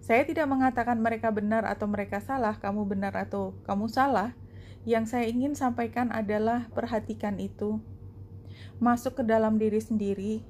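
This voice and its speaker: A woman giving a spoken card reading to viewers, explaining reflectively in short phrases with pauses. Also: a little high, warm tone, slight background noise. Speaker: tarot and oracle card reader.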